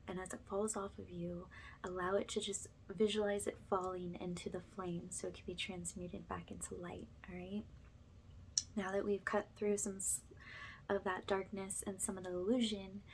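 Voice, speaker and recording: A woman speaks softly in a medium register, at times nearly whispering, as she guides an ASMR meditation. Her manner is gentle and informal, giving instructions as a healing guide would. Clear ambient noise sits behind the voice. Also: mid-range voice.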